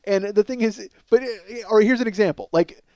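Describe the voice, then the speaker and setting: A man's voice: high voice, a little fast, darkish tone, clear, resonant tone, no room sound. A man in his forties hosting a comedy podcast, rambling through casual stories in a profane, self-deprecating, emphatic way. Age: 40s. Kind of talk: rambling comic monologue, casual storytelling.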